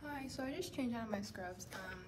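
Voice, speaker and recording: A woman's voice: fairly high pitch, a little fast, background noise. A woman in her twenties talking in an informal, chatty way to a phone for a casual vlog. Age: 20s. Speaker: vlogger, dental hygiene student. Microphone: phone at arm's length or propped nearby. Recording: loud music mixed over the voice.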